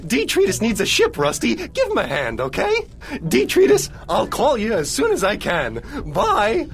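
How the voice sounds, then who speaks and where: High, swooping friendly robot. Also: male voice, moderate pace, heavily acted. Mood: brisk, cheerful. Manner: animated, familiar. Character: chatty friendly robot showing a newcomer around. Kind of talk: scripted video game cutscene acting.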